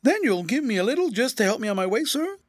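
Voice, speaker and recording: A man voicing a whining, cringing old ex-soldier turned tramp, rough-spoken and slyly malicious, in a storybook reading. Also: extremely high pitch, big pitch swings, fast talker, dry recording, clean studio sound, voice pushed up, full character voice. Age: older. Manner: wheedling, rough colloquial speech.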